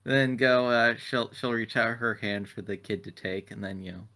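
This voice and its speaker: A man's voice: medium pitch, moderate pace, pushed and bright. A man playing a tabletop roleplaying game, casually describing his character's actions as he improvises.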